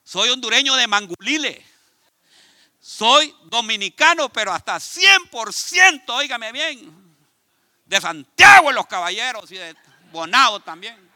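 A man from Ecuador with an Ecuadorian Spanish accent, preaching a sermon in an animated, conversational way and speaking straight to his congregation. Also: very high voice, measured pace, dry recording. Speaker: pastor. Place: church.

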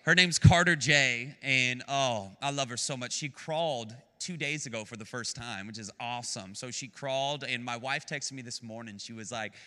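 An American man in his late twenties preaches as a guest at the pulpit, chatty and enthusiastic, joking with the congregation. His voice is very bright and sharp, with a middling pitch. The sound rings with the long reverb of a church sanctuary. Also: moderate pace, hardly any pauses. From United States. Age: 20s. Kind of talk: sermon.

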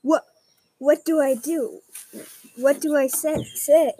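A woman's voice: high voice, steady tone, slow pace, mellow, dry recording, rough recording. A woman playing a nervous, cautious young schoolboy in an amateur audio drama, speaking hesitantly and anxiously. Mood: scared.

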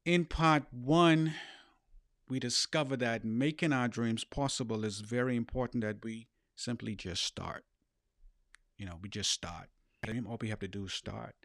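A man's voice: mid-range voice, unhurried, a bit bright, dry recording, studio quality. A middle-aged man with a Bahamian accent giving an earnest, emphatic motivational talk as a podcast host and life coach, repeating key phrases.

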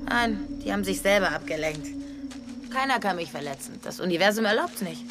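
An actress playing an eerily unconcerned, blunt and fatalistic killer, deadpan, in dubbed TV series dialogue. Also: female voice, medium pitch, a little fast, background noise, natural voice.

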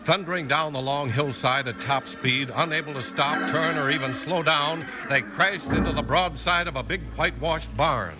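A man's voice: a little high, normal speed, hardly any pauses, loud music behind the voice. A man announcing and narrating in an emphatic, scripted 1950s radio style. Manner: emphatic, dramatic delivery.

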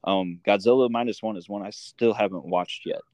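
A man hosting a casual interview, speaking informally and haltingly as he thinks aloud. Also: on the low side, a little mellow, no room sound. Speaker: interviewer and host.

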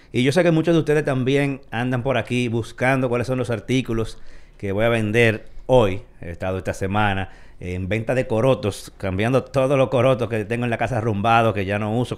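A man hosts a live tech show in a chatty, rambling way, filling the pauses with "eh". His voice sits at an ordinary middle pitch. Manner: chatty, rambling, with many fillers. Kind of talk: casual live show talk.

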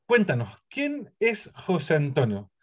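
A man with a Chilean accent, a priest hosting a relaxed faith talk show, chatty and prone to trailing off and restarting. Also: fairly high pitch, unhurried. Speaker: priest and host. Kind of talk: casual radio chat.

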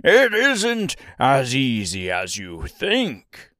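Guy doing a rough gruff little stout creature guarding his hill, drags words out slow, storybook style. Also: mid-range voice, wildly swooping pitch, pushed and bright, no echo, clean studio sound, full character voice. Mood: mocking. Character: armless hammerhead man, stout, gruff and territorial.

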